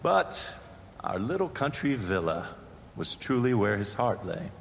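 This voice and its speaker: An actor playing a wealthy, courteous, slightly smug heir in an audio drama, with a polite, refined, airy manner. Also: male voice, fairly low pitch, very animated, measured pace, vocal fry, heavily acted. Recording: long echo of big hall, ambient noise.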